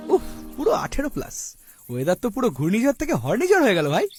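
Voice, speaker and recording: Man voicing a lively, cheeky cartoon plateau. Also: very high-pitched, fast talker, heavily acted. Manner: cheeky, exclaiming banter. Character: cheeky, excitable plateau, the plain's buddy. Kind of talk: comic cartoon dialogue. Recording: music behind the voice.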